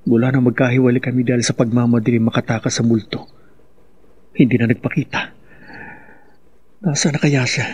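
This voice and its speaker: Grieving dad male actor, radio drama monologue: brooding, sorrowful, husky medium-pitched voice. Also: a little mellow, lightly acted. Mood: anxious, wondering. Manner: brooding, plaintive. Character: grieving, troubled father brooding alone.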